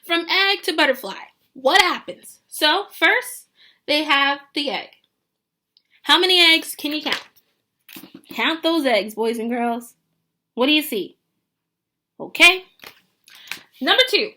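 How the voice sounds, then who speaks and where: A woman with a very high, bright voice reads aloud as a teacher to young children. Her delivery is animated and instructive, and she often turns to her listeners with questions. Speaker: teacher reading a picture book to children.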